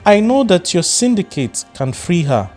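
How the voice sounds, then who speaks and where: A man's voice: sits high, very animated, normal speed, a little mellow, soft background music, voice pushed up. A man doing a fierce indignant mother. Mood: firm. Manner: confrontational, firing questions. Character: indignant middle-aged mother, fierce and demanding.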